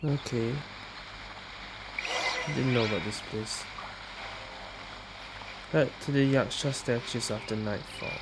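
Low-voiced woman casually playing a game. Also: slow pace, pauses often, background noise. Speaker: game streamer. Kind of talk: casual gameplay commentary. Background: game music.

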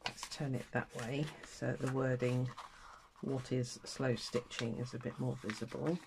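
A woman's voice: very deep voice, little pitch movement, moderate pace, vocal fry, noisy background. An older woman with a cold and a stuffy nose, chatting casually to her viewers while she packs sewing kits at a table. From England. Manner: chatty, self-deprecating, talks while working with her hands. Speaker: craft channel host. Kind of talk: casual chat while crafting. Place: indoors at a table, hands-only overhead camera.

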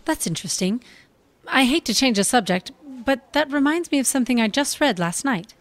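An actress playing an earnest, polite young stage actress, articulate, in a comedy audio drama. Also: female voice, high-pitched, animated, brisk. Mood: earnest, thoughtful.

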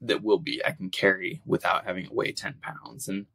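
Male podcast guest, low gravelly voice, easygoing and rambling, lots of fillers. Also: flat delivery, moderate pace, fairly bright tone. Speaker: guest, outdoor cookbook author. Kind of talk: casual interview talk.

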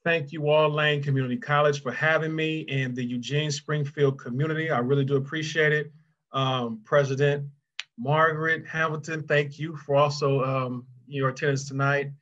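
A middle-aged American man gives a thankful public address. His voice is fairly high for a man, heard through slightly dull video-call audio. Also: steady tone, normal speed, fairly bright tone. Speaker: keynote speaker, author and professor.

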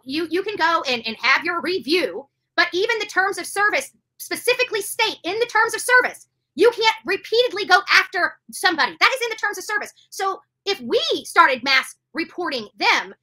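A woman's voice: sits high, bright, forceful tone, rough recording. A middle-aged woman hosting a livestream, giving indignant commentary in an emphatic way, repeating phrases for stress. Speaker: livestream host. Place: bedroom, indoors.

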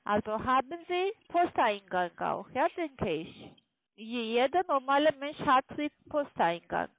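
High-pitched foreign woman on a tinny phone line. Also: very animated. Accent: foreign accent in German. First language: not German. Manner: halting, insistent, repeats herself. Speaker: call centre phone scammer. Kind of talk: scripted phone instructions in broken German. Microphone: telephone.

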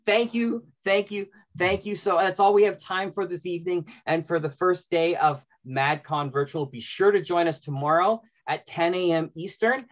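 Emphatic middle-aged man, dull call audio. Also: a little high. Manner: emphatic, repeating phrases for effect. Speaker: panel host and moderator. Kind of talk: panel discussion, giving advice. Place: indoor room, on a video call.

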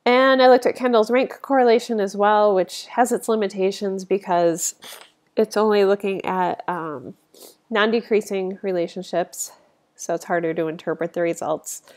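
A woman with a mild cold gives a relaxed voice-over for a lecture on statistics slides. She sounds a little sniffly. Her pitch sits in the middle, and she talks informally with plenty of fillers. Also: moderate pace. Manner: informal, conversational, frequent fillers. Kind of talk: casual narrated slide lecture.

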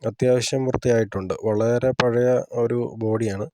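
A man's voice: medium pitch, fairly even intonation, moderate pace, a little mellow, no room sound, studio quality. A man giving a casual hands-on tutorial, explaining plainly step by step as a hobbyist presenter.

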